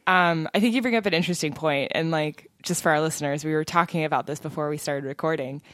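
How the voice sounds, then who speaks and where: A woman hosting a podcast who asks curious questions and laughs often. Her voice sits a little low, and the sound is dry and close. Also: fast, fairly bright tone, dry recording. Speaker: podcast host. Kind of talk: casual podcast interview.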